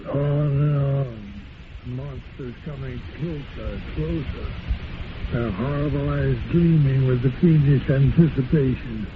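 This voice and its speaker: A man in his nineties, a former radio actor, talking haltingly in an interview and reciting an old radio bit with old-fashioned diction. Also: medium pitch. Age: 90s. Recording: tinny, noisy old tape.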